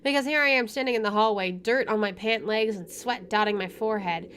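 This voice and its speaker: Casting: female let's-player, mid-pitched, narrating visual novel prose with wry, offhand asides. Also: a little fast, a bit bright. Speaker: video game streamer. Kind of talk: reading game text aloud with casual commentary.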